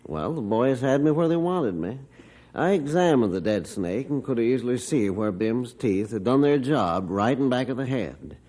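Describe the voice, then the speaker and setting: A man's voice: medium pitch, expressive, moderate pace, warm tone, a little hiss or hum, close to an everyday voice. An actor playing a middle-aged rancher father who tells his story in a folksy, matter-of-fact way in an old radio drama. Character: rancher father Mister Jorgensen, gruff storyteller. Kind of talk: 1950s radio drama narration.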